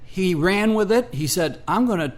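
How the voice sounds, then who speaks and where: An American man co-hosting a radio show, explaining background in an unscripted, halting way. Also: sits high, lively intonation. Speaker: radio co-host.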